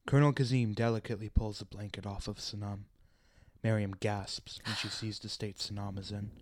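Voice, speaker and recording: A man's voice: fairly low pitch, little pitch movement, a little fast, no room sound. A man reading scripted stage directions as the narrator of a radio play.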